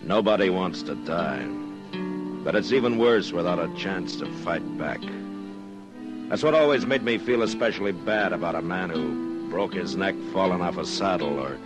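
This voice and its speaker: An actor playing a stoic, world-weary frontier marshal in an old-time radio western, terse, dry and quietly angry. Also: male voice, low-pitched, animated, moderate pace. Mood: bitter narration. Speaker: lead character and narrator. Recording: vintage radio drama with loud music.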